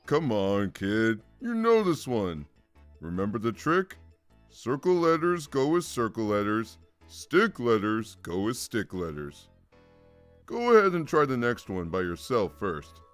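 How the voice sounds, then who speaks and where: A man plays a drowsy cartoon skeleton with a wry, joking drawl. He speaks slowly and casually, with pitch that rises and dips freely. Soft music sits behind the voice. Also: very animated, no room sound. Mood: teasing. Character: laid-back, wry, drowsy skeleton older brother. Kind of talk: comic dub cartoon acting.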